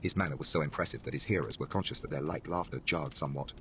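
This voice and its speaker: A man's voice: a bass voice, quick pace, a bit bright, a little hiss or hum. A man reading a horror story as a dramatic, scripted narration. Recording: music behind the narration.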